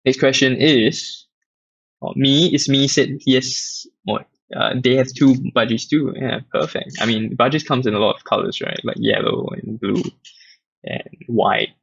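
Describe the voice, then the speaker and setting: Gravelly Singaporean man, lo-fi. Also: medium pitch, a little slow, slight background noise. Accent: Singaporean English. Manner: relaxed, rambling, reads questions aloud with many fillers. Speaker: app community host answering questions. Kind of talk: casual livestream Q&A. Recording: dull lo-fi dry audio.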